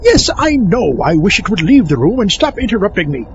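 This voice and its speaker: A man's voice: high voice, wildly swooping pitch, brisk, dull audio, a little hiss or hum, heavily acted. A man playing a pompous, easily exasperated horror film scholar, lecturing in a comic scripted monologue.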